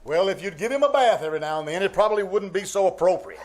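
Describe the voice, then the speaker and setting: A man's voice: high voice, expressive, quick pace, a little hiss or hum. A man playing a pompous, easily flustered middle-aged uncle in 1940s radio comedy style, muttering and sputtering. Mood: flustered, blustery.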